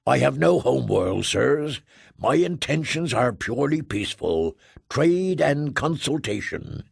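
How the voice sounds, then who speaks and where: A man reading as a slow, pompous giant trader. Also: mid-range voice, sing-song, creaky, breathy edge, no echo, clean studio sound, full character voice. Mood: stately. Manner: slow, stately, pompous phrasing. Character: huge ponderous male space trader, formal and self-pitying. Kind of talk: audiobook character voice.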